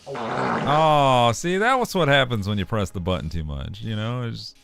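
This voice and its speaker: A man's voice: medium pitch, animated, moderate pace, vocal fry, fairly bright tone, faint music behind. A man chatting casually on a livestream, joking loosely and laughing often. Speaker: streamer.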